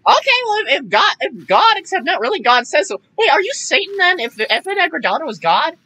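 A very high, bright kid's voice that swoops wildly up and down. A woman plays this flippant young boy from a comedy game dub, rambling and exclaiming with cheek. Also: very animated, brisk, exaggerated voice. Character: cheeky, excitable young boy dodging robots. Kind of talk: improvised comedic game fandub.

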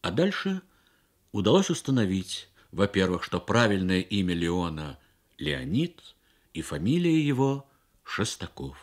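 A man narrating a literary story for radio with measured, expressive phrasing and deliberate pauses. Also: fairly low pitch. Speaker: story reader.

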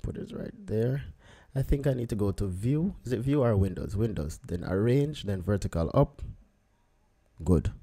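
A man with a low, mellow voice walks through a photo edit on screen. He talks in an easy, unhurried way, thinking aloud as he clicks through each step. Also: vocal fry, breathy edge. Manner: relaxed, thinking aloud while working. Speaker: photographer teaching photo editing. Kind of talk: screen-recorded software tutorial.

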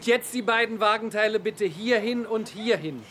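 An actor playing a pompous, boastful middle-aged teacher in a TV series, commanding and self-important. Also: male voice, high-pitched, normal speed, very noisy, natural voice. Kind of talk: TV series acting. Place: outdoor castle courtyard. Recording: outdoor location sound with background ambience.

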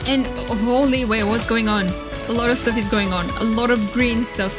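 A woman streamer giving excited, casual reaction commentary in a playful, flirty, gushing way. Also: a little high, moderate pace. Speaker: streamer reacting to game trailers. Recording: loud music behind. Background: game trailer music and sound.

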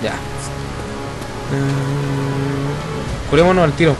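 A man's voice: mid-range voice, little pitch movement, very slow, music in the background. A man with a Chilean accent chatting casually with friends while gaming, slangy and sweary. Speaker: player recording a gameplay video. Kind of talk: casual game chat with friends. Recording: loud game sound behind voice.

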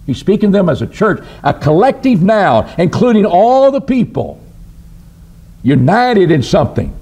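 An older man preaches from behind a church pulpit, his voice climbing and dropping as he works through his points. He sits a little high for a man and keeps throwing questions at the room before he answers them. He speaks into a pulpit microphone on a stand. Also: normal speed, breathy edge. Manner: lively, rises and drops in pitch for emphasis, asks rhetorical questions. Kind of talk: sermon. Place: church auditorium at a wooden pulpit.

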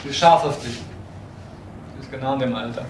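An actor playing a blunt, suspicious brother of an ex-convict in a crime radio drama, with a teasing edge. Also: male voice, mid-range voice, normal speed, background noise, lightly acted. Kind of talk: naturalistic German crime radio drama acting.

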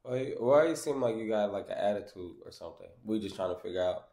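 A man's voice: on the low side, expressive, fast talker, creaky, warm tone. A young man on prank calls, questioning people in a stern, confrontational way, picked up by a camera across the table. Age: young adult. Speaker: prank caller. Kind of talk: prank phone call, mock-official questioning. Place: small room indoors at a table.

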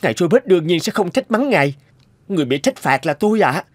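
A man's voice: high-pitched, big pitch swings, fast talker, no room sound. A man voicing an anxious, pleading young male office assistant in a cartoon, with a deferential manner. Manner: deferential, imploring. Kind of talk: cartoon voice acting.

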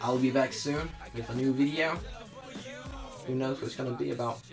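A young man chatting casually to camera, sometimes halting as he searches for words. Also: medium pitch, steady tone, music in the background. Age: young adult. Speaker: vlogger. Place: small indoor room.

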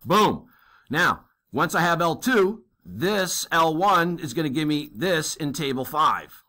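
A man's voice: on the high side, animated, slow, sharp tone. A man teaching a navigation math lesson, working a problem step by step and thinking aloud, checking in with "okay?" and plenty of fillers. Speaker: navigation instructor.

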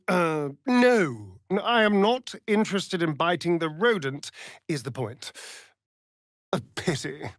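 Casting: a vain, sardonic British vampire rogue for a fantasy game; unhurried, theatrical drawl, widely swinging pitch, flirtatious edge. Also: male voice, no room sound, studio quality, full character voice. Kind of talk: video game character dialogue.

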